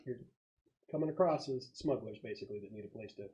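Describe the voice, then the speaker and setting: A man's voice: fairly low pitch, fast, warm tone, lo-fi sound. Man explaining as a game master. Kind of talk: narrating a casual tabletop role-playing game.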